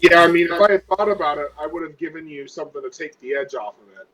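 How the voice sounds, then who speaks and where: A man's voice: fairly high pitch, quick pace, sharp tone. A man playing a tabletop role-playing game and chatting casually, in a dull, muffled recording.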